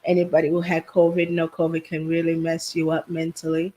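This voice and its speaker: A woman from Haiti raised in New Jersey, a business owner telling her story softly as a guest on a video call. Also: sits low, monotone, fairly quick. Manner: soft-spoken storytelling with laughs, quiet. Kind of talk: casual interview chat.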